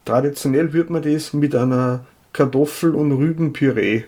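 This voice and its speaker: A man's voice: a little high, moderate pace, dark tone. A man, co-hosting a casual beer chat, adding facts and dry asides. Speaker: beer podcast co-host.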